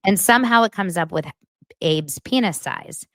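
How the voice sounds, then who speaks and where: Brief: a gossiping woman, a little low, animated storyteller, dry close sound. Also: normal speed, dry recording. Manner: animated storyteller who acts out quotes. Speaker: podcast guest and YouTube show host. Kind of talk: casual gossip chat.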